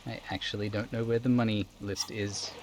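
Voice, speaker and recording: A man with a fairly low voice streams a video game. He reads the narration aloud and slips into casual chat, with rain and some noise in the background. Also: level tone, fairly quick.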